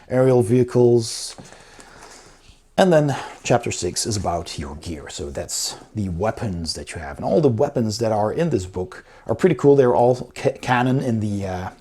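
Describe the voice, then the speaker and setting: A man's voice: lowish voice, big pitch swings, moderate pace, a little mellow, slightly rough. A man giving a casual, unscripted review as host, with an informal, enthusiastic way of talking. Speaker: board game reviewer, host.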